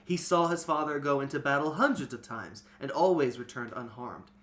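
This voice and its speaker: A man's voice: mid-range voice, moderate pace, fairly bright tone. A man reading a story aloud as host, with dramatic emphasis, swelling and then trailing off. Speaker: host and reader. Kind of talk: expressive read-aloud storytelling.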